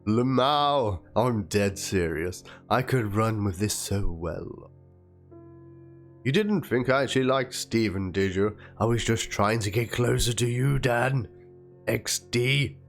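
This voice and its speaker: A man's voice: mid-range voice, takes it slowly. A man doing a dramatic reading as a wounded young woman, plaintive and theatrical, spelling out chat slang. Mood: playful, teasing. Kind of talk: dramatic reading of a written document and chat logs. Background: guitar music.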